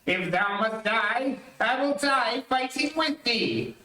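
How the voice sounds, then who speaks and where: A woman's voice: on the high side, lively intonation, slow pace, pushed and bright, full character voice. A woman playing a proud, loyal, warlike prince of lion men in an old-time radio adventure, speaking in declamatory archaic phrases. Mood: urgent, noble. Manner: declamatory, archaic formal diction. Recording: echoey, distant streamed stage audio.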